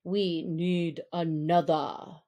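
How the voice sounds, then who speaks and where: A woman voicing a stern male wolf who leads his pack, calling out solemnly and in measured phrases in a storybook reading. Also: on the low side, steady tone, mellow, pitched below the performer's own voice. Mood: solemn, commanding.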